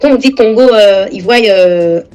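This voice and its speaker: A woman hosting a casual interview, asking questions in a lively, encouraging way and speaking directly to viewers. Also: medium pitch, normal speed, clear, resonant tone, soft background music. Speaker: interview host.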